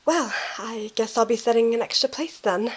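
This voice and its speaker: A woman's voice: on the high side, moderate pace, breathy edge, low-quality recording. A woman playing a kind, friendly young woman in an audio drama, with a casual manner.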